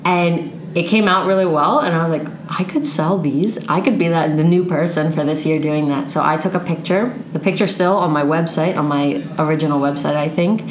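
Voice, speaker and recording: A young woman with a low voice tells her story in an easy, chatty way, laughing now and then. She is recorded in an echoey room with a faint hiss behind her. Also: fairly quick, few pauses. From Pennsylvania, United States. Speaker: guest. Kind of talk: casual interview.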